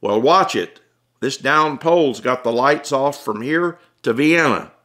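A man's voice: mid-range voice, animated, a little slow, fairly bright tone, voice pushed up. A man voicing an exasperated, authoritative policeman in an audiobook, talking bluntly with a raised, folksy voice. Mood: brisk warning. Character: exasperated, authoritative small-town traffic policeman.

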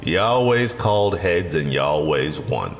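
An actor playing a wise, stern older garage owner and community leader in an audio drama, plain-spoken and authoritative. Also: male voice, low-pitched, animated, measured pace. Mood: fatherly, knowing. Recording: long reverb, music underneath.

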